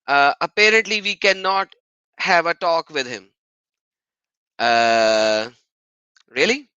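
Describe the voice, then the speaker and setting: A man's voice: sits high, sharp tone, no room sound. A man hosting an argumentative live stream on religion, often mocking and repeating phrases for emphasis.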